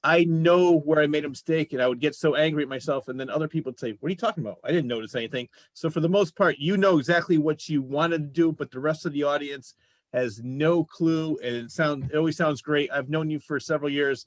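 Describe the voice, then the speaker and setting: A man's voice: fairly high pitch, quick pace, dry recording. A man hosting a casual interview, talking in an encouraging, complimentary way. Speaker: show host, interviewer.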